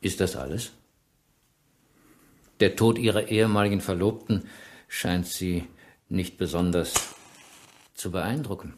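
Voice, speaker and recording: A calm detective inspector in a radio drama. His voice is on the low side, and he is courteous, measured and quietly inquisitive. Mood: polite, pointed. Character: calm, probing male police inspector. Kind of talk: German radio play acting.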